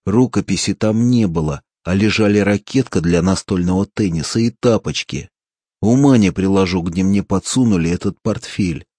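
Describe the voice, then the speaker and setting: A wiry man with steady nerves talks in a calm, dryly mocking way, as a male narrator voices him. The voice is deep and husky, recorded close and dry. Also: moderate pace, no echo.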